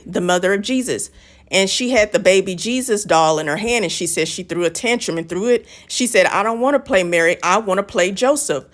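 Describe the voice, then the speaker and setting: Woman giving emphatic commentary. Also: mid-range voice. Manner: emphatic, lecturing, addresses the guest directly. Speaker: reaction video host. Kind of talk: commentary on a clip.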